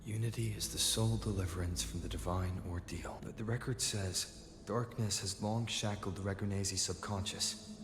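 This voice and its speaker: A man playing an earnest, thoughtful young adventurer in a video game, measured and articulate as he reasons aloud. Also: on the low side, fairly even intonation, noisy background, lightly acted. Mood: pondering. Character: earnest, thoughtful young male adventurer piecing clues together. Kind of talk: video game voice acting, story dialogue. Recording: echoey hall reverb, music behind. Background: game music.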